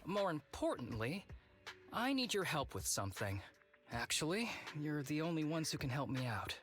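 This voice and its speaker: A man's voice: fairly high pitch, big pitch swings, moderate pace, a bit bright, faint music behind. A man voicing a laid-back, teasing young executive officer in a video game, languid and theatrical. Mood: serious.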